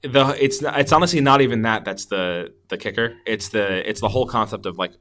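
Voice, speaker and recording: A man's voice: lowish voice, a little fast, a bit bright, slight background noise. A man hosting a podcast, chatting casually and enthusiastically about films in an easygoing way. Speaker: podcast host. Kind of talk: casual film review chat.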